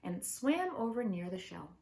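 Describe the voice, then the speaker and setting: Very animated woman reading a children's story. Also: mid-range voice, vocal fry. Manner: expressive, deliberate emphasis. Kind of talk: children's picture book read-aloud.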